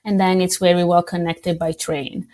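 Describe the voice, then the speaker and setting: A woman with a slightly low voice and talks through a relaxed interview. She hesitates, restarts and laughs lightly as she explains. The delivery is conversational. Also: moderate pace. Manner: hesitant with fillers, laughs often. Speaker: tourism board representative.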